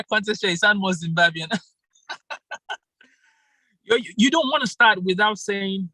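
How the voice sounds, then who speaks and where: A man leads an online speaking workshop over a video call, lively and quick to laugh as he calls on people by name. His voice sits a little high and rings bright and forceful. The sound is dry and close. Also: no room sound, poor audio. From Nigeria. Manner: animated, laughs often, calls on participants by name. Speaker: public speaking coach. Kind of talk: interactive online workshop talk.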